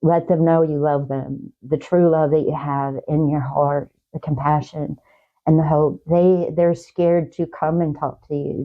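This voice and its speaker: A woman talk show guest giving heartfelt, faith-based encouragement in a halting way, repeating words and phrases. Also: low-pitched, narrow, tinny audio.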